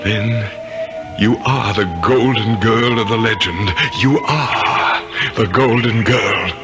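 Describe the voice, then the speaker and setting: An actor playing a centuries-old ghost in a radio drama, anguished and halting, repeating his words. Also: lowish voice, lo-fi sound, over music. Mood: awed, grateful. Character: centuries-old male ghost, tormented, remorseful, pleading. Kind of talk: 1970s radio drama acting.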